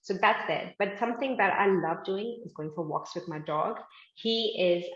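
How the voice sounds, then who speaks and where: A woman's voice: medium pitch, normal speed, roomy sound, lo-fi sound. A woman from India, a business founder, talking casually in an interview with lots of "like" fillers. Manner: chatty, many "like" fillers, upbeat. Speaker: guest, fashion manufacturing founder.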